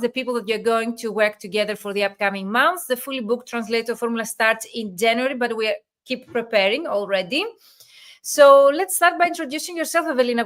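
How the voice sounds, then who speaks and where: A woman's voice: fairly high pitch, a bit bright. Chatty woman hosting a video interview. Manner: chatty, many "let's say" fillers. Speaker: business coach and host. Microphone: video call.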